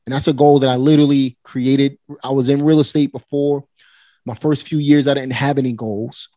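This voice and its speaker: A forty-year-old man talks in a relaxed, motivating way, speaking right to his listeners. His voice sits at an ordinary middle pitch and is recorded dry and close with no room sound. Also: moderate pace, dry recording. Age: 40s. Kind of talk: casual conversation.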